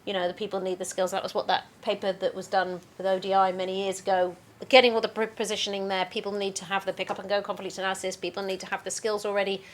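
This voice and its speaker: A woman panelist speaking in a practical, matter-of-fact way in a panel discussion. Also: mid-range voice.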